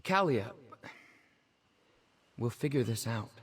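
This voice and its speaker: A man's voice: mid-range voice, lively intonation, takes it slowly, slightly rough. A young man playing a cocky, adventurous twin brother in a fantasy video game, breezy and reassuring in manner. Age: young adult.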